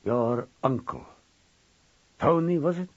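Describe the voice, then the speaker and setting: Guy reading as gaunt knowing psychic woman, slow n relentless, slightly husky, dull dry recording. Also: medium pitch, dry recording. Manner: slow, deliberate, unnerving. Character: gaunt Romanian woman psychic, older than she looks, relentless, knowing.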